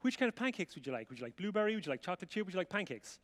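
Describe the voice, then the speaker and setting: A man's voice: high voice, big pitch swings, racing, fairly bright tone, voice pushed up. An Irish man voicing a fussy, polite young waitress who rattles off endless menu options. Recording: reverberant hall sound.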